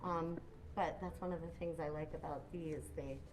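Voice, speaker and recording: A woman's voice: low voice, fairly even intonation, normal speed, a little mellow, low-quality recording. A woman casually presenting products in a demonstration. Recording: clear background noise.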